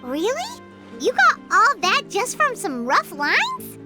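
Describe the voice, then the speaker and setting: A woman's voice: very high-pitched, wildly swooping pitch, slow, heavily acted. A woman voicing a small, chatty female fairy companion in a video game, who talks about herself in the third person. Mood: curious. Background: game music.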